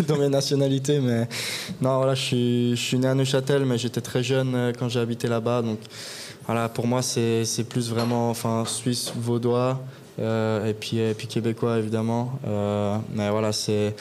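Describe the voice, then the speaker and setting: A young man in his twenties, a guest hockey player, giving even, unhurried interview answers with frequent pauses at a live podcast event in a bar. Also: medium pitch, slight background noise.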